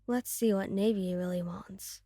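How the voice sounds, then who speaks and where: A woman voicing a cool, self-assured masked mafia boss in an audio roleplay, speaking with calm command. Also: medium pitch, measured pace, no echo, clean studio sound, close to an everyday voice. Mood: resolved.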